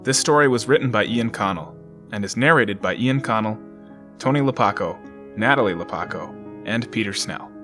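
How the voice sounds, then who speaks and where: A man's voice: lowish voice, expressive, moderate pace, over music. A man narrating an audio drama, reading letters and documents in a scripted style.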